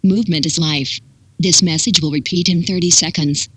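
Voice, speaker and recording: A synthetic text-to-speech voice reading a scripted public warning in an impersonal, measured manner with clipped instructions. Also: mid-range voice, fairly even intonation, husky, dry recording. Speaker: emergency broadcast announcer.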